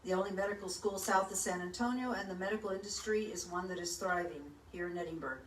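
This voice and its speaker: A woman's voice: fairly low pitch, fast talker. Formal woman moderator, echoey hall. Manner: formal, clear. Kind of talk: reading forum questions. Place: large hall stage. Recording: echoey hall from far off, faint hum.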